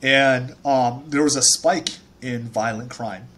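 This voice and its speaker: A man's voice: medium pitch, slow, a bit bright. A man in his thirties from Taiwan, a startup founder telling his life story in a casual interview, chatty with many fillers and restarts.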